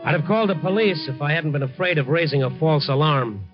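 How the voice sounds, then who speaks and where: An actor with an American accent playing a wry, streetwise reporter, narrating in punchy 1950s radio drama style. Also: male voice, fairly high pitch, fast, music in the background, close to an everyday voice. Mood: tense narration. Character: brisk, wry, streetwise male newspaper reporter. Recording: muffled vintage 1950 radio recording.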